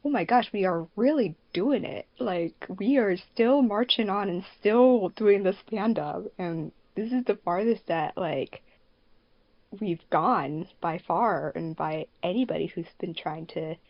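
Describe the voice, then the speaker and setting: A woman's voice: fairly low pitch, a little slow, no echo. A woman hosting a podcast in a casual, chatty way, often using "like" as a filler. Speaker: podcast host and fandub producer. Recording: dull, lo-fi voice recording.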